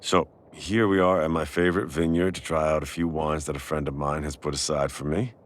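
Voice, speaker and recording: A man playing a warm, teasing restaurant owner with a secret crush in a casual, intimate boyfriend audio roleplay. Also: a bass voice, fairly even intonation, a little fast. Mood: warm, explanatory.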